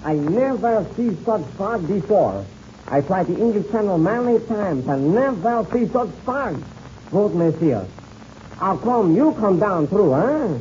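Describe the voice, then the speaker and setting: An actor playing an excitable, gracious French air-race pilot in a radio serial, with a French accent and broken English sprinkled with French words. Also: male voice, high voice, a little slow. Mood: amazed. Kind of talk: old-time radio serial acting. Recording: noisy, dull old radio recording.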